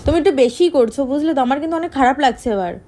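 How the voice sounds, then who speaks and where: A woman's voice: high-pitched, rapid-fire, warm tone. A young woman making a teasing prank phone call, coy, pouty and insistent. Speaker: prank caller. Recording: clear background noise.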